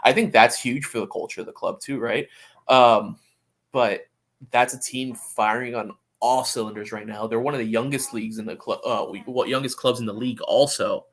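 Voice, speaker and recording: A man's voice: medium pitch, steady tone, normal speed, fairly bright tone. A man hosting a sports podcast, chatting casually about soccer and often repeating words as he thinks aloud.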